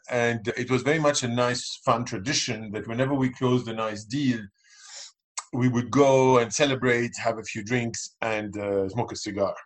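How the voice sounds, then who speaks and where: A man's voice: mid-range voice, poor audio. A middle-aged man from Lebanon, a podcast guest explaining his views earnestly in conversational answers. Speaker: podcast guest, cigar entrepreneur.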